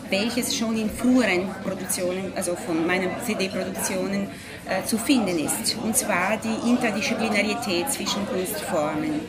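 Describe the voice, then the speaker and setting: Hesitant Italian-accented woman at a press table. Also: medium pitch. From Italy. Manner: hesitant, many fillers, searching for words. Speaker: pianist. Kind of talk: press conference statement. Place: ornate café room with audience. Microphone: table microphone at a distance. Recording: room with a loud crowd.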